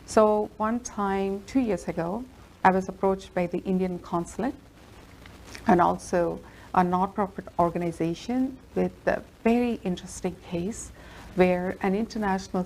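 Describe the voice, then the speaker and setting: A woman lawyer, a native Telugu speaker, answering interview questions in an earnest, steady storytelling manner. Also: medium pitch, vocal fry, slight background noise, low-quality recording. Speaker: immigration lawyer.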